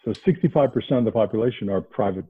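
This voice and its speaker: A man from around Boston, a podcast guest, talking in a casual animated way with many restarts. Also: fairly low pitch, racing, breathy edge, no room sound. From New England, outside Boston. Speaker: podcast guest, investment banker. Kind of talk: casual interview talk. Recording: tinny phone-like line.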